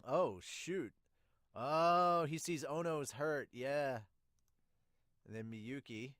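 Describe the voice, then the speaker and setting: A man reading manga aloud as an amateur dub and reacting as he goes, expressive in delivery. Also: mid-range voice, slow, no room sound, clean studio sound.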